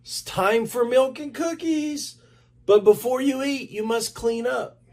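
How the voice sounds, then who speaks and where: A man reads as a friendly male schoolteacher in a children's storybook. He addresses small children instructively, in a raised pitch. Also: unhurried, darkish tone.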